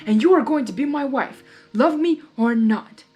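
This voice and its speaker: A woman's voice: sits high, animated, normal speed, warm tone, soft background music, voice pushed up. A woman voicing a short-tempered, bossy male trickster monster with a theatrical, petulant delivery in a storybook reading. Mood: furious.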